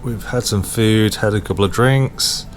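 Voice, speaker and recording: A man narrating a motorcycle vlog in a casual way, with hesitant pauses as he talks. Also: fairly low pitch, faint music behind. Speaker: motorcycle vlogger.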